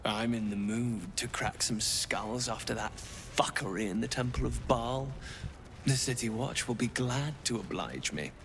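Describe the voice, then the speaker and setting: An actor playing a gruff, brawling city watchman in a video game, blunt in manner. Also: mid-range voice, measured pace, fairly bright tone, a little hiss or hum. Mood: spoiling for fight.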